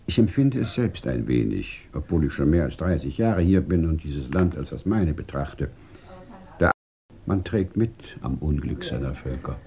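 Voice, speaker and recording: A grave older man, with an extremely deep, creaky voice. He speaks solemnly and gently, in a mellow tone, like a moral counsellor in a 1950s radio drama. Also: big pitch swings, moderate pace, full character voice. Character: compassionate, grave older man, long-time emigrant offering moral counsel. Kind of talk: 1950s German radio play acting.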